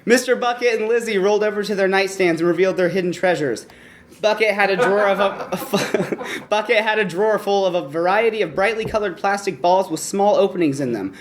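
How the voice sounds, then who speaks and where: A performer reading a bawdy comic story aloud in front of an audience. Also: medium pitch. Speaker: story reader. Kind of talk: comedic erotic story reading before an audience. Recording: noisy live room recording.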